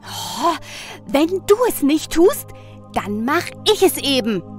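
A woman's voice: high voice, big pitch swings, takes it slowly, faint music behind, full character voice. A woman playing a caring, commanding sun goddess in an audio drama, earnest and reproachful. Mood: indignant. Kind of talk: German audio drama acting.

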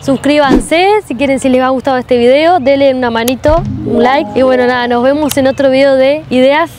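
High-voiced woman co-hosting casually. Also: steady tone, a little fast, noisy background. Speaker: co-host. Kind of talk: casual vlog chat.

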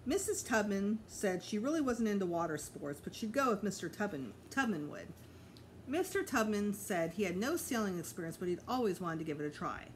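A woman's voice: mid-range voice, very animated, a little fast, low-quality recording. A middle-aged woman reading a children's story aloud like a teacher to pupils, expressively and with small slips and self-corrections. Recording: clear background noise, home recording.

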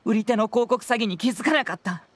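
A woman voicing a clever, composed young male detective in a game, with polished, measured, theatrical delivery. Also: on the high side, no room sound, lightly acted. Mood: sheepish. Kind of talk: Japanese game voice acting.

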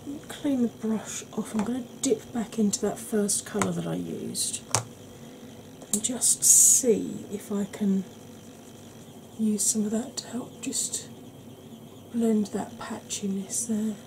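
A middle-aged woman with a mixed Welsh and Yorkshire accent, chatting gently and slowly through a makeup tutorial. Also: medium pitch, creaky, background noise. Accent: Welsh and Yorkshire mix. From England, raised by Welsh family. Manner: deliberately slow, gentle, chatty. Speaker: beauty YouTuber. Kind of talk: slow soft-spoken makeup tutorial chat.

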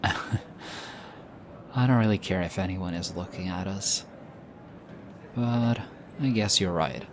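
A young man voicing an affectionate, teasing boyfriend in an audio roleplay, speaking softly and hushed. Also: sits low, slow pace, long pauses. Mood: tender, teasing. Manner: soft, hushed, teasing with light laughs. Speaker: voice actor. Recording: steady ambient background noise. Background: busy airport ambience.

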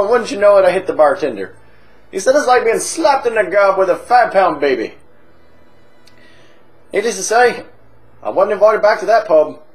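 Performer doing a rowdy Irish barfly, put-on brogue, crude and swaggering, voice leaping between squeals and grumbles. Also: close to an everyday voice. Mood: boisterous. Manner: crude, swaggering, jumps between high squeals and low mutters. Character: rowdy boozy Irish man, crude and cheerful. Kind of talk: comic character monologue.

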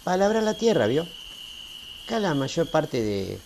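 A man's voice: on the high side, sing-song, vocal fry, a little mellow, a little hiss or hum, exaggerated voice. An actor playing an easygoing, teasing small-town railway man in a radio drama, folksy. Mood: amused, folksy. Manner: folksy, unhurried, conversational.

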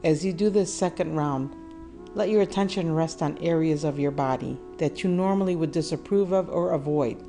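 Low-voiced woman guiding a meditation. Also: warm tone, faint music behind. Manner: soothing, measured, with deliberate pauses. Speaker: meditation guide. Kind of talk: guided meditation, calm instruction.

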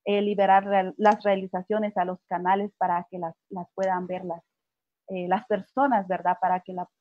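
A woman's voice: mid-range voice, flat delivery, normal speed, no room sound. A woman moderating an online panel, asking long questions and rephrasing as she goes, heard over a video call. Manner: searching for words, rephrasing questions. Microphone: laptop webcam video call. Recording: dry, dull video-call audio.

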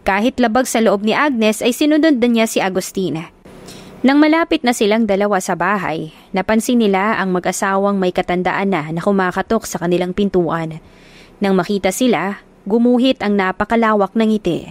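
A woman narrating a romance audiobook in a steady storytelling voice, on a close studio mic. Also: medium pitch, moderate pace.

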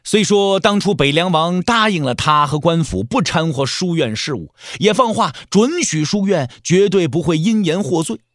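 A man's voice: on the high side, expressive, moderate pace, hardly any pauses, no echo. Man, audio drama narrator, swooping intonation, theatrical phrasing, dry close recording. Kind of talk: dramatic scripted storytelling narration.

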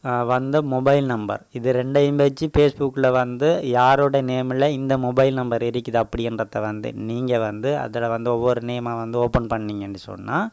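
A man's voice: mid-range voice, level tone, moderate pace, darkish tone, clean tone, dry recording, studio quality. A man hosting a tech channel, explaining a phone tutorial step by step in a casual voice-over with a Tamil accent and a repeated filler word.

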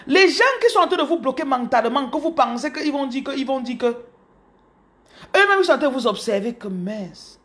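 A woman in her thirties from Cameroon with a Cameroonian French accent, telling her story to her followers in an animated, casual way, speaking close to a phone in a small room. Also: high voice, normal speed. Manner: animated, repeats words, addresses viewers directly. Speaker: business coach. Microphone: phone camera held close.

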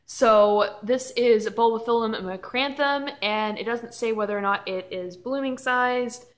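A woman's voice: fairly high pitch, measured pace. A young woman with a Southern US accent chats casually from a room at home. She is enthusiastic and a little rambling, and she laughs easily. The sound is from a webcam on her desk. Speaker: orchid channel host. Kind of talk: casual chatty vlog.

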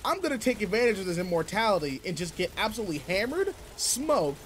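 A man's voice: high-pitched, big pitch swings, fast talker, a little hiss or hum. A man playing a seasoned but laid-back space guardian mentor in a video game roleplay, casual, slangy and wry as he talks to a partner. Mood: defensive, animated. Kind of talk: video game roleplay audio, in-character monologue.

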